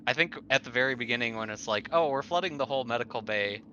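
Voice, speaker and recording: Bright-voiced man chatting, muffled recording. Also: medium pitch, fast. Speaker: tabletop roleplaying player. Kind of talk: casual chat after a game. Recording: muffled audio with faint noise.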